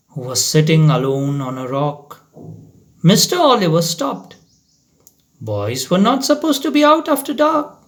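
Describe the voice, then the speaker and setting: A man reading a short story aloud in a dramatic, deliberate storytelling manner. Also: a little high.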